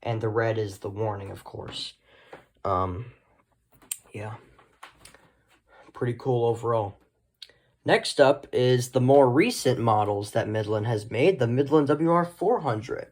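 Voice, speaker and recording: A man's voice: medium pitch, slow, pauses often. A man giving a casual, unscripted show-and-tell, rambling and often trailing off into short asides. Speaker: hobbyist video host.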